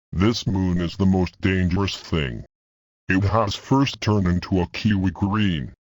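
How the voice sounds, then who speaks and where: A man's voice: low voice, no room sound. A man playing a grave government emergency message reader, delivering a scripted warning of doom.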